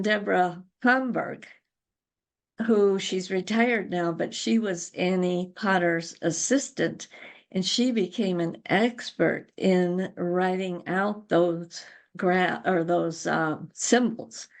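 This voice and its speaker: An older woman speaks as an interview guest, casually sharing recollections. Her voice sits fairly low for a woman and carries a pronounced gravelly fry. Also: takes it slowly. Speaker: interview guest, crochet guild founder.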